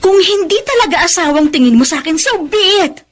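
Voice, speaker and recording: A woman's voice: extremely high pitch, animated. A woman with a Filipino accent playing a weary, long-suffering middle-aged wife in a radio drama, bitter and emotional, drawing out her words. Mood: hurt, fed up. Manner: tearful, drawn-out emotional delivery. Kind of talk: Tagalog radio drama acting with English mixed in.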